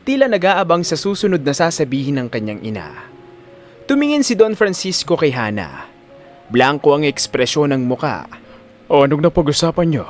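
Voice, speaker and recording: A man's voice: on the high side, very animated, normal speed, soft background music. A man with a Filipino accent reading scripted, dramatic story narration.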